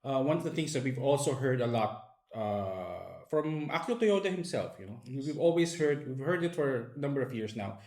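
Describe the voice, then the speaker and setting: A man's voice: medium pitch, expressive, normal speed, darkish tone. A man with a Filipino accent interviewing conversationally as a host, feeling his way through his questions. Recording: roomy, echoing indoor sound.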